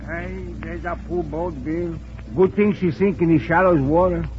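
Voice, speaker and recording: An actor playing an excitable, simple foreign deckhand who protests in broken English in a 1940s radio comedy. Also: male voice, on the high side, moderate pace, natural voice. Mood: puzzled. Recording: muffled, noisy 1940s radio rehearsal recording.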